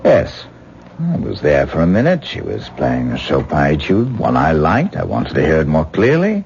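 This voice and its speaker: A man playing a drowsy, nonchalant husband in a 1970s radio drama, with a slow, groggy, gravelly voice. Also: extremely low pitch, huge pitch swings, darkish tone, exaggerated voice. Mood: groggy, casual. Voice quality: gravelly, sleepy. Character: drowsy, unbothered husband, an eccentric actor. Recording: dull, noisy 1970s radio broadcast recording.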